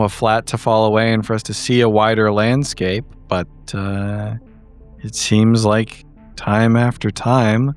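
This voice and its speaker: A man's voice: on the low side, natural voice. A man voicing a jaded, unimpressed woman in a tabletop horror game, dry, wordy and disdainful. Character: jaded, unimpressed woman critic of cosmic theatrics. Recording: music bed behind the voice.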